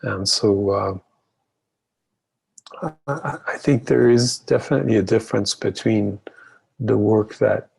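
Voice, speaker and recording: A middle-aged man from Iran, an artist, speaking hesitantly with many pauses and fillers in a panel conversation. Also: lowish voice, steady tone, gravelly, a little mellow, husky, rough recording.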